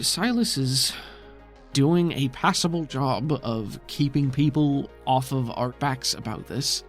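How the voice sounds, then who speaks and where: A man's voice: medium pitch, close to an everyday voice. A man voicing a weary, worried woman official who sighs and speaks frankly in a tabletop game.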